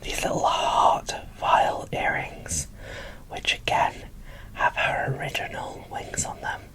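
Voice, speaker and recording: A woman whispering casual, gushing ASMR commentary as she presents an unboxing, in a breathy, informal way. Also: slow, fairly bright tone, low-quality recording.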